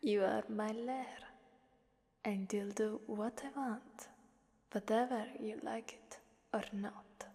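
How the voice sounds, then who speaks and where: A woman voicing a menacing, bored and playful female demon in an ASMR roleplay, with a breathy, often whispering voice and teasing, condescending delivery. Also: fairly high pitch, fairly even intonation, mellow. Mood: taunting menace. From Italy. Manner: slow, teasing, condescending, drawn-out pauses. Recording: long cavernous reverb.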